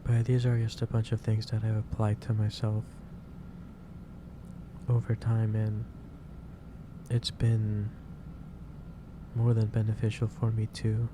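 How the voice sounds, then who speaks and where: A man doing a soft ASMR roleplay as a gentle, caring office boss, speaking hesitantly with pauses mid-sentence as he gives advice. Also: on the low side, completely flat delivery. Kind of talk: soft ASMR roleplay, improvised monologue to the listener. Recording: clearly noisy background ambience.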